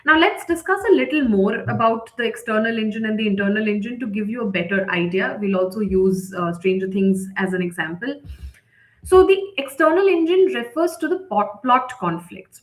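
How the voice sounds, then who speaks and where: A woman with a fairly high voice presents a live online lesson as an instructor. She explains things point by point and often checks in as she goes. The sound is distinctly roomy and echoey. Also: expressive, moderate pace. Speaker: writing instructor, editor leading a session.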